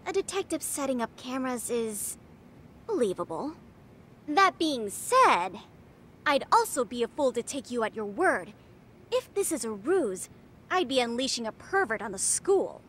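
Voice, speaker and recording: A woman's voice: a little high, sing-song, unhurried, a bit bright, a little hiss or hum. A woman playing a self-important teenage schoolgirl who leads a detective club in a video game dub, with pompous, precise, dramatic phrasing. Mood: stern, calculating. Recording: music under the dialogue. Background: music.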